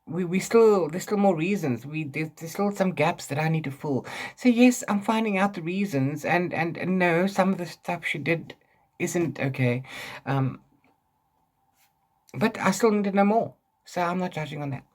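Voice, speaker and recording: A man's voice: a little high, moderate pace. A man talking casually as a book vlogger, rambling and often interrupting himself with repeated words. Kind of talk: casual book chat to camera.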